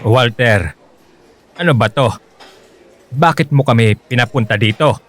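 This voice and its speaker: A man's voice: medium pitch, measured pace, lightly acted. A man playing a blunt, scolding middle-aged father who disapproves, in a Filipino radio drama. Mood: irritated. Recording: loud music under the voice.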